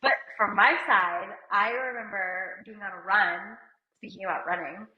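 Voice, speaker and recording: A chatty woman with a slight cold hosts a relaxed talk, her voice a little muffled and dull. The room around her is roomy and echoey. She talks easily, with lots of "like" and light asides. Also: medium pitch, measured pace. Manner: chatty, uses "like" often, laughs lightly. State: has a cold. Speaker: podcast co-host and company CEO. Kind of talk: casual chat. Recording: roomy, somewhat dull sound.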